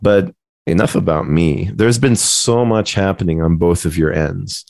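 A man with a gravelly voice chatting casually as a podcast co-host, in a self-deprecating, joking way. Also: sits low, a little slow, darkish tone, no room sound.

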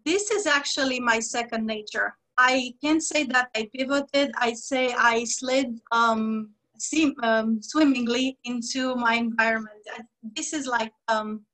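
A woman from Romania, a guest on a podcast explaining her work as a diversity trainer in a measured way, with frequent pauses and fillers. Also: on the high side, fairly even intonation, bright, forceful tone, low-quality recording. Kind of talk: podcast interview.

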